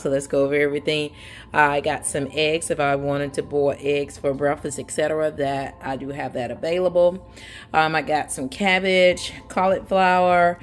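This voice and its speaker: A woman vlogger talking casually through her grocery haul in a home kitchen, relaxed and chatty with frequent fillers. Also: sits low.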